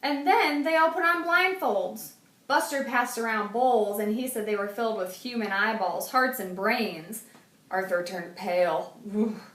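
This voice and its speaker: Expressive woman teacher reading aloud. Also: a little high, unhurried. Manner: expressive storytelling, hushes for spooky parts. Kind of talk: children's book read-aloud. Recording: roomy, distant mic.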